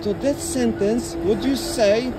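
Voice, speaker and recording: Polish street interviewer, halting broken English, phone recording with heavy traffic behind. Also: male voice, very high voice. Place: outdoors by a busy street. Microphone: phone in hand. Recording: phone audio with loud traffic noise.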